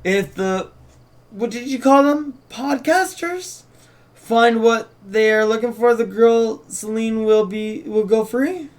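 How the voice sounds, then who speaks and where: A man's voice: extremely high pitch, slow pace, pitched above the performer's own voice. A man voicing a wry, patronizing witch with an old soul, full of fond endearments, in a script read-through. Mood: earnest, curious.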